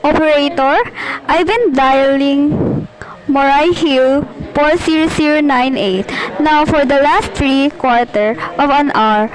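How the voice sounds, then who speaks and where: Woman acting an anxious, high-voiced, demanding housewife. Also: low-quality recording. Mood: nervous, impatient. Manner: fretful, insistent, imperious. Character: anxious, sickly, demanding housewife alone at home. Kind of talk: amateur student radio play acting.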